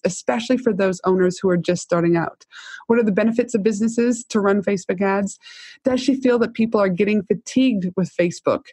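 A woman's voice: medium pitch, a little mellow, slightly rough, dry recording. A woman hosting a business podcast, with an enthusiastic, salesy delivery. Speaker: podcast host, business coach. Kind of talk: podcast intro and interview.

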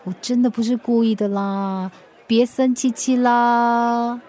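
A woman's voice: fairly high pitch, little pitch movement, takes it slowly, dark tone. A woman with a Singaporean accent acting a forgetful, playful delivery rider in a radio drama, cutesy and coaxing. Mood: coaxing, apologetic.

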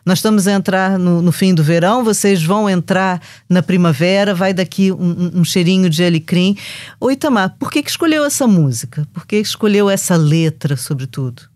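A Brazilian-born woman journalist hosting a podcast interview, reflective and warm, often restarting her phrases. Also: fairly low pitch, normal speed, no room sound, clean studio sound.

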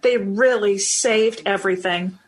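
A woman's voice: medium pitch, measured pace, lightly acted. An actress playing an affectionate, earnest 1950s mother and wife in an old-time radio drama. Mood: relieved, tender. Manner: tender, earnest.

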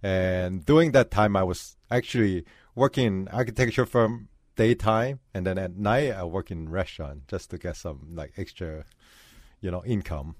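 Guy, lowish voice, chill chatty storyteller, dry studio sound. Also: moderate pace, no room sound. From Hong Kong. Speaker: chef and restaurant owner. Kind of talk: radio interview.

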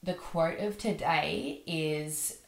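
A woman's voice: low-pitched, steady tone, very slow, vocal fry. A young woman hosting her own podcast, rambling casually with many fillers while sick with a bad cold. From Australia. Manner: chatty, rambling, lots of fillers like "like" and "um". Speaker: podcast host. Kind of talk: casual solo podcast monologue. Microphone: computer microphone. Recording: echoey room.

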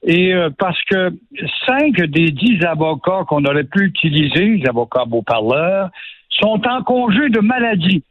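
A man's voice: high-pitched, very animated, measured pace, no room sound. A man with a Quebec French accent giving sarcastic, indignant commentary on a radio show. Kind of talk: opinionated radio chat. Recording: phone-line radio audio.

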